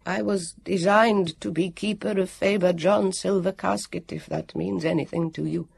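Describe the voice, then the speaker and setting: A storybook narrator voicing a tall, skeletal male guardian who speaks slowly, sadly and apologetically. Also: mid-range voice, animated, creaky, darkish tone, husky, dry recording, studio quality, pitched above the performer's own voice, heavily acted. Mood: mournful. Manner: slow, mournful, apologetic.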